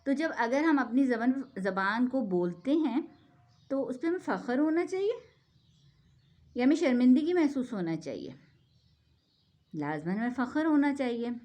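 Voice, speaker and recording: A woman's voice: on the high side, darkish tone. A woman from Pakistan giving an earnest explanatory talk, often asking rhetorical questions and checking in with "theek hai". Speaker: speaker giving a talk on the national language.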